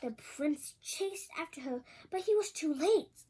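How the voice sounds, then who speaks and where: A woman's voice: high voice, lively intonation, a little slow, mellow. A woman reading a fairy tale aloud expressively, with chatty asides and stumbles over words. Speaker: storybook reader.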